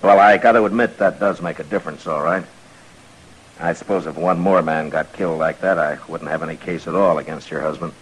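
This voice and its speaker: Western radio marshal, played by a man: low American voice, weary, steady, laconic, noisy vintage recording. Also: natural voice. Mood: firm, measured. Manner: measured, hesitant pauses, laconic. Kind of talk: old-time radio western acting.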